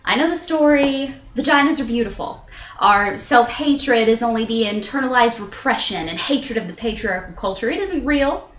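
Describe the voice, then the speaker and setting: A woman performing a theatrical monologue as a self-conscious, candid woman confessing intimate things, with dramatic pauses and drawn-out emphasis. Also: lively intonation, fairly bright tone, slight background noise. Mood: wry, embarrassed. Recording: echoey room on low-quality webcam.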